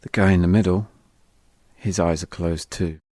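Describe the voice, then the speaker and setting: A man narrating a first-person audio memoir in a reflective way, in short, measured phrases. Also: low voice, fairly even intonation, a little mellow, dry recording. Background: music.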